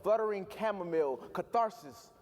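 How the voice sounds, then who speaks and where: Young man with high voice performing spoken word poetry. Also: normal speed. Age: young adult. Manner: energetic crowd hype, rhythmic delivery. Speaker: youth spoken word poet. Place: large event hall, live audience. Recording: echoey hall reverb.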